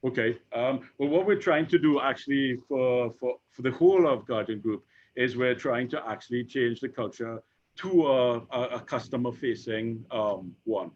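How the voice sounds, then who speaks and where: Trini guy in his 50s, stumbly repeats and lots of ums, mid voice, muffled lo-fi audio. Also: medium pitch. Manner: halting, repeats words, many fillers. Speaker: insurance operations executive, panel guest. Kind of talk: conversational panel discussion. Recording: dull lo-fi recording.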